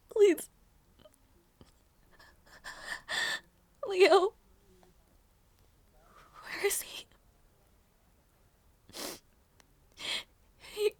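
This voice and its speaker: A woman acts out a gentle young princess in an audio roleplay, with a very high, delicate voice. She speaks slowly and hesitantly, as an anxious, tender-hearted sister. The sound is dry and intimate. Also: dry recording, exaggerated voice. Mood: pleading, tearful. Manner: soft, hesitant, halting delivery. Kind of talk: audio roleplay drama, intimate one-sided dialogue.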